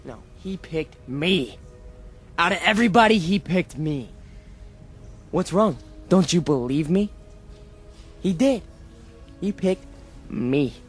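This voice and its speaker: An actor playing a boastful, unstable young fanatic in a radio drama, intense, stammering and repeating himself. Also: male voice, on the high side, animated, takes it slowly, long pauses, husky, faint music behind, heavily acted. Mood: wounded, desperate. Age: young adult.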